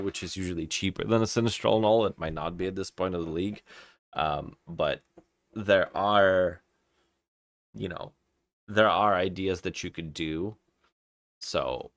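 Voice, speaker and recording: A man from Kazakhstan gives casual gear advice on a live game stream. His voice sits low, and the sound is dry, with very little room in it. He thinks aloud, often repeating a word before carrying on. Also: fairly bright tone, dry recording.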